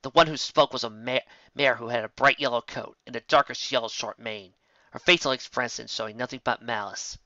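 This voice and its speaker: A man's voice: mid-range voice, a little fast, sharp tone, no echo. A man reading scripted fiction as a story narrator. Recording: slightly dull, close dry recording.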